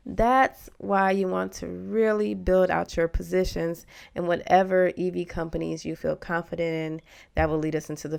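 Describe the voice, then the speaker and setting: A woman with a clear, smooth voice that often dips into creaky fry, at a middle pitch. She talks like an informal video host guiding beginners, reassuring and encouraging as she explains. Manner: encouraging, reassuring advice-giving. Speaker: host of a stock investing channel for beginners.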